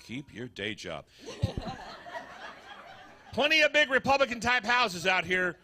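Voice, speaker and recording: A man's voice: high voice, huge pitch swings, measured pace, sharp tone, a little hiss or hum, heavily acted. A man playing a folksy, wisecracking urban cowboy in a live comedic radio show. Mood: joking. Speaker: stage performer in a benefit show.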